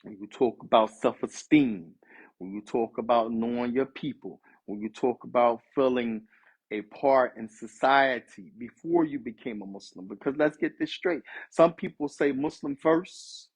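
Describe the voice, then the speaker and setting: A man's voice: mid-range voice, husky, poor audio. A man in his fifties from the US East Coast, giving a conversational sermon with Arabic phrases mixed in. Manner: conversational, street-inflected preaching with Arabic phrases.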